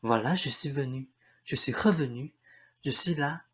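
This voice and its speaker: A man's voice: mid-range voice, moderate pace, fairly bright tone, breathy edge. A man playing an earnest, lovestruck young prince in a French radio play, speaking in solemn declarations. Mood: earnest, smitten.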